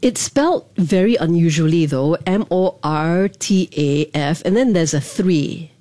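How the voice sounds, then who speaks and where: A woman's voice: fairly low pitch, expressive, unhurried, mellow, dry recording. A woman hosting an interview, chatty and affirming, asking long questions.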